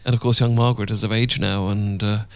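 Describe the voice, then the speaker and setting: A British man playing a tender, devoted Regency gentleman husband in an intimate ASMR roleplay, speaking gently and a little hesitantly in formal period phrasing. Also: on the low side, flat delivery, quick pace, clean tone, no echo, natural voice. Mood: gentle, chatty. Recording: outdoor birdsong ambience behind voice. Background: birdsong and outdoor ambience.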